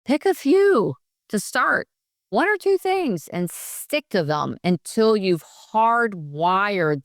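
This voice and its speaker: Energetic woman, smooth low voice. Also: no echo, studio quality. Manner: energetic, practical, acts out quoted dialogue. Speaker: nurse consultant guest. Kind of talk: podcast interview answer.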